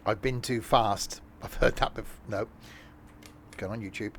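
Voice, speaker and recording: A man's voice: fairly low pitch, steady tone, unhurried, a bit bright, slight background noise. A man streaming a train simulator, chatting casually in a rambling, self-mocking way. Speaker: streamer playing a train sim. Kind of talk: casual gameplay commentary.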